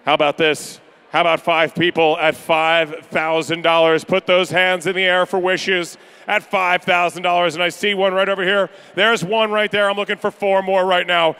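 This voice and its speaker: A man calling pledges at a charity gala in a banquet room, energetic and upbeat with rapid-fire banter to the crowd. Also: sits high, clean tone. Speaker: charity auctioneer. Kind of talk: live fundraising pledge calling.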